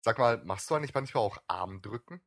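A man's voice: lowish voice, expressive, fast, no room sound. A man co-hosting a casual streamed podcast, teasing and needling his co-host.

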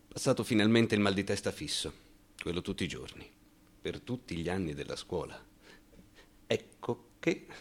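Actor as deep-voiced anxious, ironic man. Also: natural voice. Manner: halting, rhetorical asides, swings from muttering to outbursts. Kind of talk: theatrical radio monologue.

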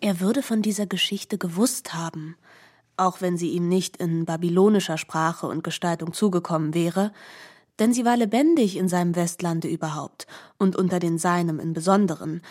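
A woman reading scripted literary narration for radio in a measured, explanatory way. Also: fairly low pitch, darkish tone.

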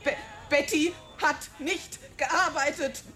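A jittery young woman who works as a movie extra, speaking high and bright and tripping over her words as she rushes them out in a radio play. she stammers and hurries. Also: fairly even intonation, faint music behind, full character voice. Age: young adult. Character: young woman, aspiring film extra, nervous and chatty. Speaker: witness. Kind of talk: German radio drama acting.

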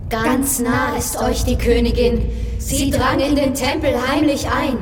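A woman's voice: sits high, fairly even intonation, a little slow. A woman playing a sly, teasing queen's attendant in a radio opera, insinuating and declamatory. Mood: warning. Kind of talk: theatrical radio opera dialogue. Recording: distant, echoing hall, loud noise behind.

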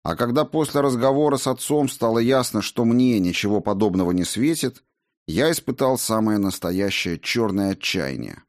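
A man's voice: on the low side, lively intonation, moderate pace. A man reading a first-person book aloud as scripted narration. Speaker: audiobook narrator.

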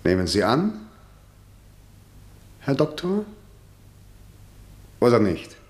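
An actor playing a stern, formal, middle-aged prosecutor and authoritarian father, measured and condescending, in a vintage radio play. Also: medium pitch, huge pitch swings, darkish tone. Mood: formal, pressing. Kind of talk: mid-century German radio drama acting. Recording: roomy 1960 radio drama studio.

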